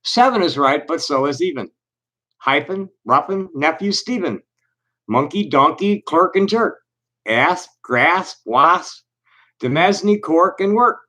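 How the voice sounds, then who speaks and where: A man hosting a solo livestream, reading aloud slowly and deliberately, then chatting in a halting, rambling way with many restarts. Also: fairly high pitch, lively intonation, bright, forceful tone. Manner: reads aloud with deliberate slow pauses. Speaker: livestream host. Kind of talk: casual solo livestream chat.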